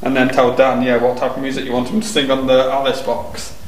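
Thirties guy ghost hunting, calm and coaxing, echoey factory, noisy background. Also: medium pitch, brisk, distant mic. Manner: calm, self-deprecating, coaxing. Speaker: paranormal investigator host. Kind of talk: casual calling out to spirits. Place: dark abandoned factory at night, indoors. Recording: echoey hall with background noise.

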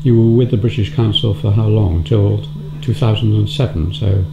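A man's voice: on the low side, steady tone, moderate pace, vocal fry, very noisy. A man interviewing a guest, asking short questions and murmuring agreement. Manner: brief prompts and murmured agreement. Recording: roomy, dull, humming sound.

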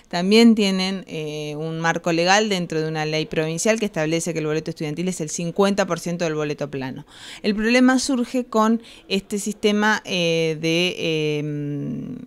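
Clear, low-voiced Argentine woman, measured. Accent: Argentine Spanish. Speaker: city councillor interviewed. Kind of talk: explanatory news interview. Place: small office, indoors. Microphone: handheld reporter's microphone held close.

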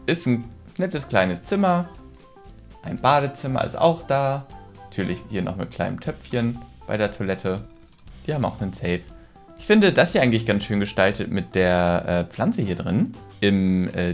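A young man giving a casual, playful tour of a game as a video host, speaking into a close desk microphone indoors. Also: lowish voice. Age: young adult. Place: small room at home, indoors. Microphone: desk microphone on boom arm, close. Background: background music.

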